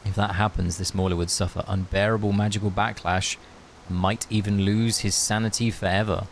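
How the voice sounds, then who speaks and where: Laid-back, low-voiced male streamer. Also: level tone, moderate pace, vocal fry. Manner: laid-back, matey, jokey. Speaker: game livestreamer. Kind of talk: casual chat and read-aloud game commentary. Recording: faint hiss.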